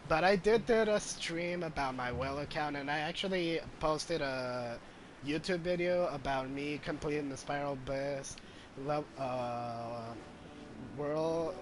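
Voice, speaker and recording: A man's voice: on the high side, measured pace, a little hiss or hum. A man giving casual gameplay commentary as a streamer. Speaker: game streamer. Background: game music.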